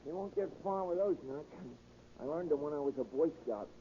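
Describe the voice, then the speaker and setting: An actor playing a folksy, easygoing convict sidekick in a 1930s radio crime drama, with slangy, ungrammatical talk. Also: male voice, fairly high pitch, animated, fairly quick, warm tone, lightly acted. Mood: wry, reasoning. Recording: dull, noisy old 1930s radio recording.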